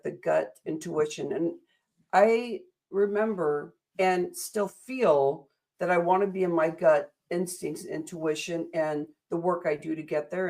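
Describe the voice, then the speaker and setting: Upbeat American woman host, fairly low voice, rambling and affirming. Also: creaky, mellow. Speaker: interview host. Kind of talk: upbeat motivational interview.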